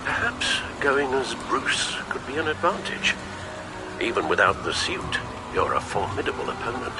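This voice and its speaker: A man playing a dutiful, composed butler and confidant in a video game, measured and formal. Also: sing-song, over loud music, lightly acted. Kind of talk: dramatic video game acting. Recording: clear background rumble and ambience.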